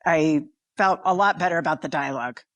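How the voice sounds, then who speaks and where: A woman's voice: low-pitched, a little fast, a bit bright, no room sound. A woman in casual conversation, talking in a self-deprecating way.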